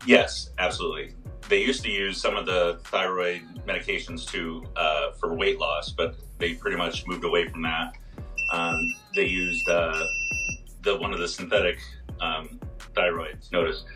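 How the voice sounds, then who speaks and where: A hoarse man in his fifties, a pharmacist explaining health topics on a video call, step by step with many fillers. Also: deep voice, pushed and bright, far from the mic, low-quality recording. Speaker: pharmacist guest on a radio show. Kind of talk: casual health talk. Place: small room indoors.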